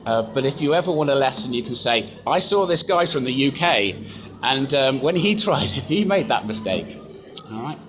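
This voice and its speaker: Chatty English man demoing software. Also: mid-range voice, lively intonation, moderate pace, over music. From England. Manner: chatty, self-deprecating, many fillers. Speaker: software presenter. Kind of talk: live product demonstration. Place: conference room.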